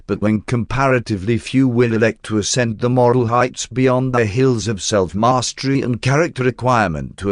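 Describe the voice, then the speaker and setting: A man reading a spiritual text as a narrator, in a measured, formal way. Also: mid-range voice, dry recording, studio quality. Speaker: audiobook narrator.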